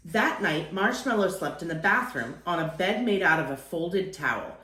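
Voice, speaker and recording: A woman's voice: lowish voice, animated, normal speed, pushed and bright, roomy sound. A woman reading a children's picture book aloud as a storyteller, acting out phrases and lingering on key words.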